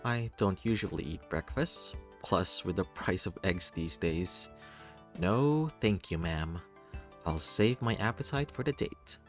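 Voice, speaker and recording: A man reading a first-person story aloud in an expressive, playful, theatrical way. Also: low-pitched, unhurried, faint music behind. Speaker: reader of a visual novel.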